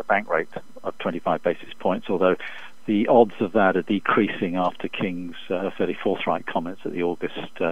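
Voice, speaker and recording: Low-voiced man on a muffled phone line. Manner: measured, halting, formal. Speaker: economist being interviewed as an expert guest. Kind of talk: unscripted analytical interview answers. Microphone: telephone.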